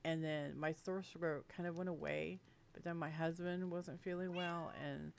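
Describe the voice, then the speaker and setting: A woman's voice: low-pitched, steady tone, normal speed, vocal fry. A woman chatting casually to viewers while playing a game, sounding congested and sniffly from a cold, giggling now and then. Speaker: gaming video creator. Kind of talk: casual let's-play commentary.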